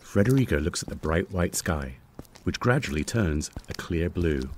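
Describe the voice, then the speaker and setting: A man with a low voice serves as script narrator, reading stage directions with very lively intonation. The recording has clearly audible noise. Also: moderate pace.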